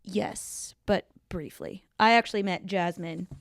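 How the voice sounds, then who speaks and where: A woman's voice: lowish voice, measured pace, vocal fry, dry recording. Woman chatting casually, dry close sound. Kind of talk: casual chat.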